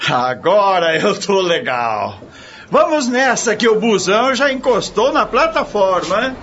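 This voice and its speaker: A man playing a cocky, slangy, excitable teenage boy in a radio soap. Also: high-pitched, unhurried, full character voice. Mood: cocky, excited. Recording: muffled lo-fi, crowd behind.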